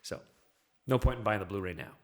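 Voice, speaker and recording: A man with a somewhat low voice talks casually as a film podcast panelist. He rambles and halts, restarting his thoughts as he retells scenes. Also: a little fast, darkish tone.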